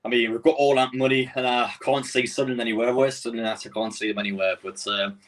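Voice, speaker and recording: A man's voice: mid-range voice, steady tone, fast, a bit bright, distant mic. A man from Newcastle with a Geordie accent, hosting a casual live stream and chatting with his viewers in a rambling way. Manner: chatty, rambling, answers chat messages.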